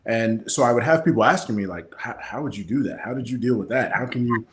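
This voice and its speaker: An American man speaks as an interview guest in a noticeably roomy, echoing recording. His pitch is average for a man. He explains things conversationally and plainly, as a straightforward coach. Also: quick pace. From Kansas City, Missouri, United States. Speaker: guest, personal development coach.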